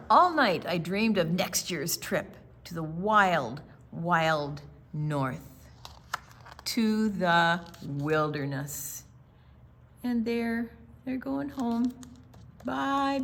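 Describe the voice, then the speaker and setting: An older woman reading a picture book aloud to children as a storytime reader, with dramatic, deliberate phrasing and quieter conversational asides. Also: mid-range voice, a little hiss or hum.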